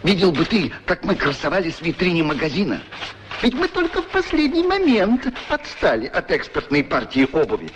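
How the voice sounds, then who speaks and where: A pair of vain, grumbling talking shoes in a radio play. The voice is fairly high and animated, with a huffy, curt manner. The recording is noisy. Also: male voice, brisk. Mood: proud, wistful.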